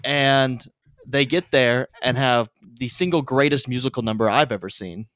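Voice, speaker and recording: A man in his early twenties gives an enthusiastic, slangy recap as a podcast co-host. His voice sits at a middle pitch. It is recorded close and dry. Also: normal speed, a bit bright, no room sound. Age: 20s. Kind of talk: casual chat.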